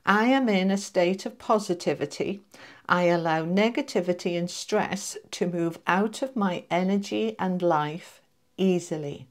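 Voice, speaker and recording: A woman's voice: on the low side, measured pace. A woman doing a casual tarot reading to camera. She sounds congested from allergies and chats with her viewers in a friendly, reassuring way. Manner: chatty, reassuring, addresses viewers as guys. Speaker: tarot reader.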